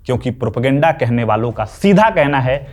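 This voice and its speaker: A man's voice: medium pitch, animated, a little fast, a little mellow. A man with an Indian accent delivering scripted explainer commentary in an emphatic way, with rhetorical questions. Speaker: video essay host. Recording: music behind the voice. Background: background music.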